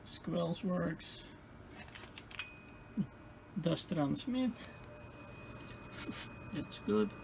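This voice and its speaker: A man who is not a native English speaker gives casual hands-on repair commentary as host, halting and thinking aloud in a gravelly voice. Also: high-pitched, animated. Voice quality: gravelly, creaky. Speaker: host of a radio restoration channel. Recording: clearly noisy home-made recording.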